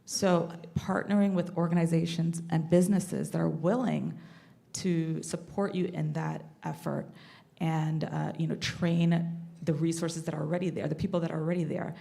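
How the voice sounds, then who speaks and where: Low gravelly woman panelist. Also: normal speed, warm tone. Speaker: panelist, mental health professional. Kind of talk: panel discussion remarks.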